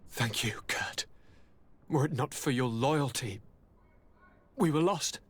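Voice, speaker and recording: A husky young nobleman from a video game drama. He is sickly and weary, speaking in courteous, theatrical phrases broken by sighs, with a bitter edge. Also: mid-range voice, slow. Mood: weary gratitude. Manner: formal, theatrical, sighing. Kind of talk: video game cutscene acting.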